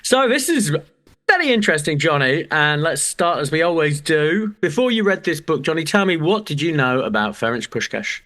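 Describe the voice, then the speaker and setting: A man's voice: a little high, big pitch swings, normal speed, pushed and bright. An English man co-hosting a podcast, chatting casually with a joking, mock-serious manner and casual swearing. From England. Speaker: podcast co-host. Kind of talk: casual chat.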